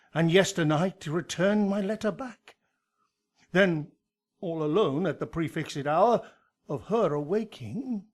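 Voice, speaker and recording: A man acts out an elderly friar in a Shakespearean radio drama. He declaims his confession slowly in a fairly high male voice, grave and anguished, with measured verse that swells and drops.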